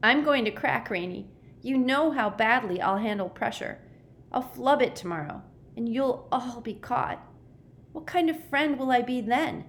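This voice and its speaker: A woman's voice: lowish voice, unhurried, lightly acted. A woman voicing a frightened, anxious boy in a storybook, shaky, pleading and emotional. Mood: despairing. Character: frightened, despairing, anxious boy on the verge of breaking down.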